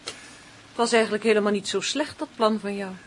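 An actress playing a proud, dominant, strong-willed woman in a Dutch radio play, speaking in a measured, deliberate way. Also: mid-range voice, lightly acted. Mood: cool contempt. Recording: noisy old radio play recording.

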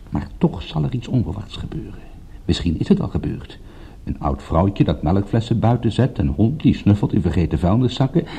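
An actor playing a wry, defiant private detective held captive, speaking drily in a radio drama. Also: male voice, medium pitch, gravelly, dark tone, breathy edge, full character voice. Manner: sardonic, dry, stubborn.

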